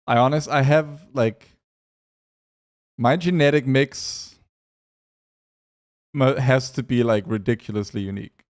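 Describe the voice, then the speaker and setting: A man's voice: mid-range voice, unhurried, pauses often. A young man from Denmark with a light Danish accent, chatting loosely as a game streamer and reading out names from his chat. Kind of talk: casual chat with live chat.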